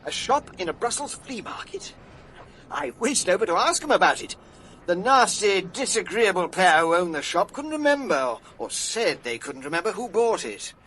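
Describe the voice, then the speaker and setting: An actor playing an excitable, self-satisfied art dealer in a British radio drama, fussy and theatrical. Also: male voice, high voice, moderate pace, slight background noise, heavily acted. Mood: self-important. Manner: theatrical, fussy, pleased with himself.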